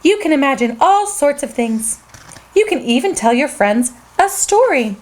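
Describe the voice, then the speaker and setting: A woman reading a children's story aloud in an animated, sing-song way as the host. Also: high voice, moderate pace.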